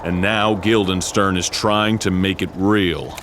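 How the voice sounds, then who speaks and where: An actor playing an earnest, absurdly serious military major briefing an agent in a comedic video game. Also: male voice, low-pitched, steady tone, measured pace, noisy background. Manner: solemn, matter-of-fact about absurd things.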